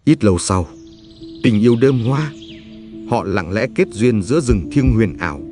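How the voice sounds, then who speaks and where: A man reading a scripted legend as a storyteller, in a solemn, measured manner. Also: lowish voice, lively intonation, music in the background. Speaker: narrator.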